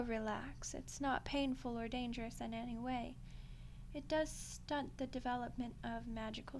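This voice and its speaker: A woman voicing an ancient female archdemon in a roleplay ASMR monologue, playful, condescending and coolly menacing, in an unhurried delivery with whispery touches. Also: fairly high pitch, darkish tone, slight background noise.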